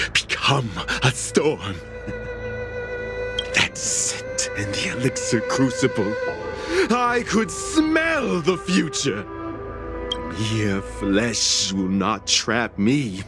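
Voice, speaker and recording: An actor playing a deranged, ecstatic cultist in a video game, raving in drawn-out rhapsody. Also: male voice, mid-range voice, full character voice. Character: mad Mara-struck male cultist, ecstatic and raving. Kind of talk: dramatic video game voice acting.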